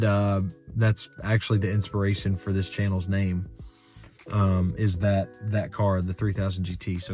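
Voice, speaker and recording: A young American man tells a long car story in a low, monotone voice, full of fillers and restarts. Faint music plays under his talk. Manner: rambling, filler-heavy, conversational. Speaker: car vlogger. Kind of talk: unscripted story time rant.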